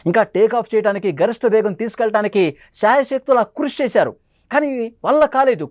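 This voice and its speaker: A man delivers dramatic news commentary. He talks quickly and leans hard on key phrases, often repeating them for emphasis. The sound is dry, with almost no room in it. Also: high-pitched, darkish tone, dry recording. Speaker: news presenter.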